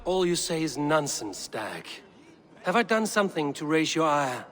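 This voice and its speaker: An actor playing a proud, steady Viking clan leader in a video game, speaking with formal, measured oratory. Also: male voice, fairly high pitch. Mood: firm, annoyed. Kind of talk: video game cutscene acting. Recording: long hall reverb, music behind.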